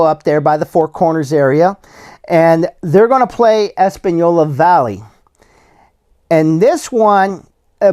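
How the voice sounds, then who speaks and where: A man hosting a solo sports podcast, slightly hoarse and losing his voice, who gives bracket predictions in a casual, plain-spoken way with many fillers and restarts. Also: a little high, darkish tone. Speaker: podcast host.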